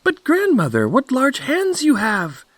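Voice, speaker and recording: Man doing a polite innocent little girl for a fairy tale, high voice, super animated. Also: a little slow, no echo, heavily acted. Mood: wondering, alarmed. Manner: polite, earnest, artless. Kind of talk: storybook character voice.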